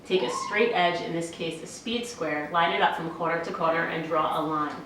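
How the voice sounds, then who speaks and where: A woman giving a clear, step-by-step woodworking tip. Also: lowish voice, steady tone, normal speed, a bit bright, very echoey, recorded from a distance, slight background noise. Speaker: guest maker. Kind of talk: instructional tip.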